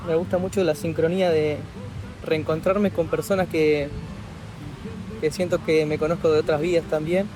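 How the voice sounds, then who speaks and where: A man in his twenties, a traveling musician, speaking about his travels in a warm, heartfelt way. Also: on the high side, flat delivery, a little fast, poor audio. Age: 20s. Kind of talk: casual interview answers.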